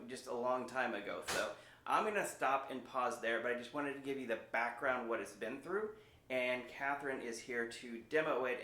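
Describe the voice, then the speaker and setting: A man presents in a casual, informal way, like someone telling a story. His voice sits in a medium register. The room is clearly echoey around him. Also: medium pitch, sharp tone, slight background noise. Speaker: violin shop presenter introducing an instrument. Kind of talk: casual product talk.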